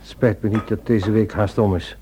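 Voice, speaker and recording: A man acting in a radio play as a weary, guilt-ridden husband, speaking in an uneasy, halting way. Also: lowish voice, fairly even intonation, warm tone, slight background noise, natural voice. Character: tired, guilt-ridden husband, haunted and defensive.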